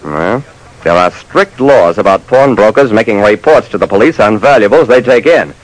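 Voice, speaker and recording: A man's voice: fairly low pitch, expressive, normal speed, poor audio. An actor playing a wry, slangy detective who narrates his case in a clipped old-time radio style. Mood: narrating. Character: dry, soft-hearted male detective telling his case. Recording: vintage radio broadcast.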